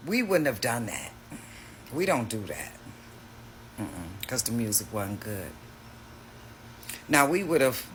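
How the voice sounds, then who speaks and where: A man's voice: mid-range voice, pushed and bright, slight background noise. A man hosting a reaction video, talking casually in a mocking, slangy way.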